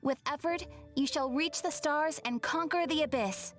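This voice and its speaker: High-voiced, courteous guild receptionist. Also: female voice, moderate pace. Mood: formal, encouraging. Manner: formal, polite, welcoming. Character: courteous, cheerful female adventurers' guild receptionist. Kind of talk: scripted video game character acting. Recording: soft game music behind the voice.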